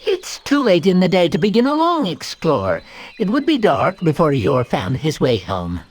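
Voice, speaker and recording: Bossy, prissy storybook rabbit: highly animated pitch, irritable, insistent. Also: male voice, normal speed, heavily acted. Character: fussy, bossy, irritable male rabbit gardener. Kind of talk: children's storybook read-aloud.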